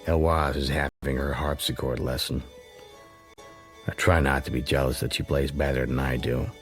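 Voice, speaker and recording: An actor playing a shrewd, suspicious middle-aged man who narrates his private thoughts in a measured, dry, musing way for a radio drama. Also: very deep voice. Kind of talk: intimate first-person radio drama narration.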